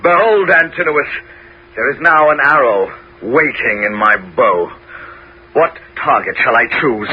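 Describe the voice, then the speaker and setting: A man's voice: mid-range voice, animated, measured pace, slightly rough. An actor playing a heroic king who has come home in disguise as a beggar, in a 1970s radio drama, with a commanding, declamatory delivery. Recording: dull 1970s radio broadcast.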